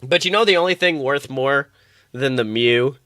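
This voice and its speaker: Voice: medium pitch, normal speed, fairly bright tone, no room sound, clean studio sound. A podcast co-host from the United States chatting casually, telling rambling, joking stories with plenty of swearing. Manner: profane, joking, rambling storytelling. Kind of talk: casual comic podcast chat.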